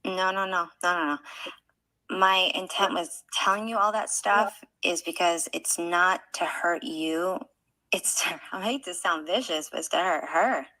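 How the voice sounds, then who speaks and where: A woman's voice: lowish voice, unhurried, pushed and bright, low-quality recording. A woman in a reality-show clip, earnestly reassuring someone and swearing for emphasis.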